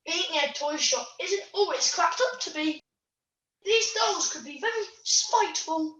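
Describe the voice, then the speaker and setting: Puppet show role: gushing, starstruck toy played by a female, very high and swooping, echoey room. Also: animated, unhurried, a bit bright, full character voice. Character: starstruck, emotional toy-shop puppet dreaming of fame. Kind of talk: online puppet show acting. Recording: echoey room over video call.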